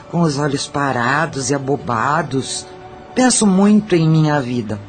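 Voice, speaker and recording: A woman's voice: low-pitched, darkish tone. A tormented spirit of a once-cold brothel madam played by a woman confesses in a radio drama. She has a Brazilian accent and speaks matter-of-factly, with regret, over music. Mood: anguished regret. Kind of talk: Portuguese-language audio drama acting.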